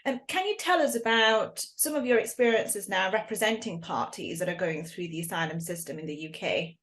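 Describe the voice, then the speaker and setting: A woman's voice: medium pitch, expressive, a little fast, fairly bright tone. A woman hosting a podcast, asking a guest friendly questions with some fillers. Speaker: podcast host. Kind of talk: interview questions.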